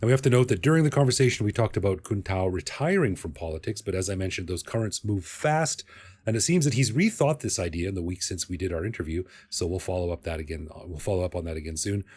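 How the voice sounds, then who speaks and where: A man hosting a podcast, chatty and upbeat, with a fairly low voice. He moves quickly from scripted introductions to loose interview banter. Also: animated, darkish tone, breathy edge. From Canada. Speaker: podcast host.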